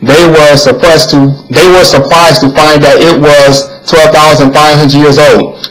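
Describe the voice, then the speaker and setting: A man's voice: a little high, flat delivery, measured pace, a bit bright, rough recording. A man narrating a video, reading written text aloud in a plain, earnest way and sometimes stumbling and repeating words.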